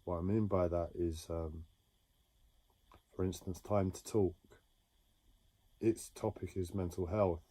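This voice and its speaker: A man talking slowly and haltingly about his own experiences in a reflective monologue, with many pauses and restarts. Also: low voice, steady tone, warm tone, breathy edge. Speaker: livestreamer sharing a personal story. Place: home.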